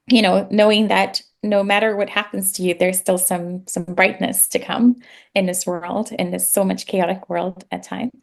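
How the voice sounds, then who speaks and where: A woman's voice: mid-range voice, creaky. A woman from Guyana chatting reflectively, rambling a little with fillers. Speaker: guest. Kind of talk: casual chat.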